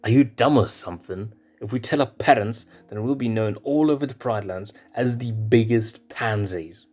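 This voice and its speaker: A man's voice: on the low side, moderate pace, darkish tone, faint music behind, lightly acted. A man doing a hot-headed young lion prince. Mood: scornful. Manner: defiant, temperamental.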